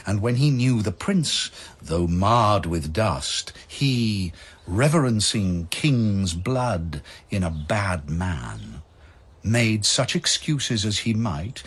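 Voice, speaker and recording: A man narrating dramatic verse in a measured, solemn manner with weighty pauses. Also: fairly low pitch, big pitch swings, breathy edge.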